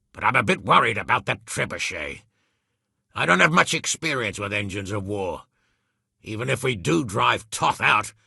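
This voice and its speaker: Gruff, raspy medieval lord. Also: male voice, lowish voice, sharp tone, no echo, clean studio sound, full character voice. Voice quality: raspy, gravelly. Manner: blustery, blunt, commanding, swearing. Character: gruff, hot-tempered, foul-mouthed medieval lord and commander. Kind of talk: dramatic video game voice acting.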